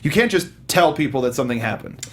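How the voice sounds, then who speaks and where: A man's voice: mid-range voice, expressive, fast talker, fairly bright tone, faint music behind. A man hosting a casual film review discussion, rambling and repeating his words as he acts out mock dialogue. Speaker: film review show host.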